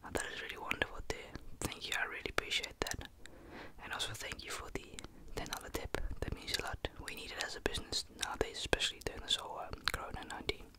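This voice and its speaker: A man's voice: fast talker, close to an everyday voice. Teen guy playing a whispering friendly barber. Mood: soothing. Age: teens. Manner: soft, polite and reassuring. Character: friendly young male barber finishing a cut. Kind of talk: ASMR roleplay. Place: small bedroom with a sloped ceiling. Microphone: large studio mic close on the desk.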